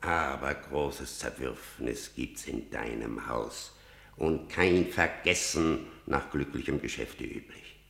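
A man's voice: rumbling low, slow, a bit bright, roomy sound, full character voice. An actor playing an old blind male seer, speaking in grave, cryptic verse. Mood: probing. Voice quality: gravelly, solemn declamation. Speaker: actor in radio drama. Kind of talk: stage verse drama.

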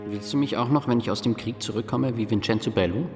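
A man's voice: mid-range voice, quick pace, lightly acted. An actor playing a young soldier-to-be in a radio drama, speaking earnestly and questioningly to his sweetheart. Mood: earnest, anxious. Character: earnest young male sweetheart heading to war. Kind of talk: German radio drama acting. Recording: long reverb under music.

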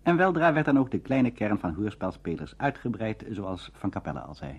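Low, lively, clear-voiced male documentary narrator. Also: a little fast. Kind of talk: scripted narration of a radio history documentary.

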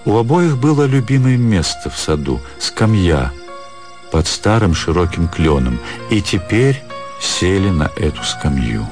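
Very deep male literary narrator. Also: very animated, a little slow. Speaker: narrator, actor reading a story. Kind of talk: scripted literary narration for radio drama. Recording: faint music behind the narration.

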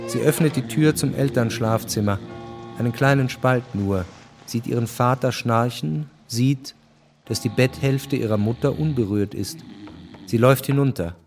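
An actor as the sober, measured narrator of a historical radio drama, reading scripted literary prose. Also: male voice, medium pitch, over music, natural voice.

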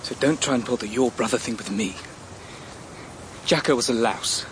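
An actor in a radio drama playing a bitter, mocking adopted son who talks in a blunt, sardonic, goading way. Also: male voice, medium pitch, moderate pace, slight background noise, lightly acted. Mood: bitter, challenging. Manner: sardonic, colloquial, challenging.

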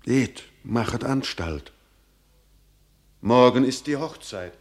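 A man's voice: mid-range voice, animated, slow pace. An actor playing an old, pious peasant father, brooding and anxious, declaiming classical verse solemnly in a radio drama. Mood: benevolent, resolute.

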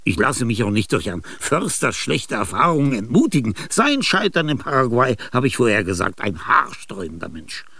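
Older restless genius, husky and raspy, whispers then bursts out, radio drama. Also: male voice, mid-range voice, sing-song, normal speed, few pauses, sharp tone, heavily acted. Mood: confiding. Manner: confiding, with sudden outbursts and whispers. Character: ailing aging male genius, restless and grandiose. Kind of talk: theatrical radio drama monologue.

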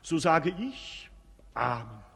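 A flustered court preacher in a 1950s radio play. He speaks in stiff, halting ceremony, his raised, husky voice echoing in a big hall. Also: on the high side, sing-song, a bit bright, heavily acted. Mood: ceremonial. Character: stammering, formal court preacher officiating.

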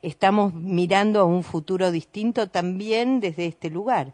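An Argentine radio columnist speaks at a mid pitch in a relaxed studio chat. The manner is friendly and persuasive, urging the guest along. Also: a little slow. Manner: friendly, persuasive, uses voseo. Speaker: entertainment columnist. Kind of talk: casual radio interview.

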